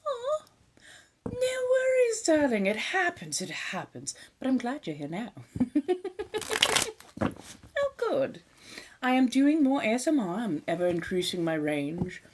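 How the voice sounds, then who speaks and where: A woman's voice: high voice, sing-song, measured pace, long pauses, darkish tone, a little hiss or hum. A woman with a put-on 1700s colonial transatlantic accent, chatting with viewers on a live stream in a theatrical vampire persona, with ornate wording and calling people darling.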